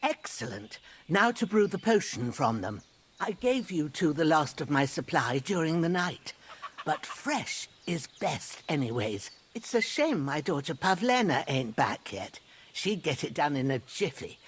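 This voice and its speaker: A woman's voice: low-pitched, very animated, measured pace, slight background noise. An actress playing an older village herbalist mother in a game, chatty and reassuring in rustic speech. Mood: brisk, practical.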